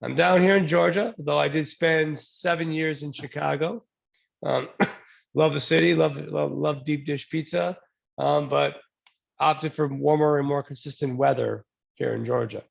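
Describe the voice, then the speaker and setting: Gravelly man presenting with a cold. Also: a little high, measured pace, dull audio. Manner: relaxed, joking, many ums and restarts. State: getting over a cold. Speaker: presenter at a user group talk. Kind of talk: casual technical presentation. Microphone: new desk mic, then a headset.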